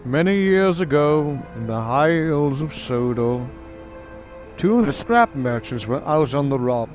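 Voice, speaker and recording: A man's voice: mid-range voice, a little mellow. A man reading scripted story narration as the storyteller of an audio drama. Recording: music under the voice.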